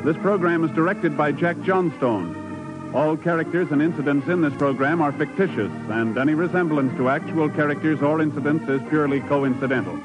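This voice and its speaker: A man's voice: fairly high pitch, a little fast. A man reading formal announcements as a 1950s radio announcer, on a dull old broadcast recording with music.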